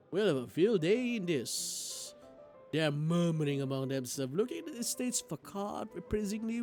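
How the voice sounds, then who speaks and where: A man reading a visual novel aloud on a livestream in an expressive, theatrical way, as a game streamer. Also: sits high. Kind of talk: livestream playthrough, dramatic read-aloud of visual novel narration. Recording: music playing behind the voice. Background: game music.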